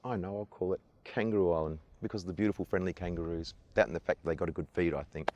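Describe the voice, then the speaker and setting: Fast-talking, low-voiced Australian man. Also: fairly even intonation, a little mellow, highs cut off. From Adelaide, South Australia. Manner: enthusiastic and earnest, repeats his praise. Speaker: tour guide. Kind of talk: casual interview answers. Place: outdoors on an island.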